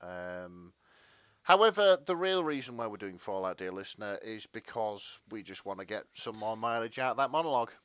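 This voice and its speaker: A man's voice: fairly low pitch, lively intonation, normal speed, fairly bright tone, clean tone, dry recording, studio quality. A man co-hosting a podcast in casual banter, wry and wordy, with hesitations as he goes. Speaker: podcast co-host.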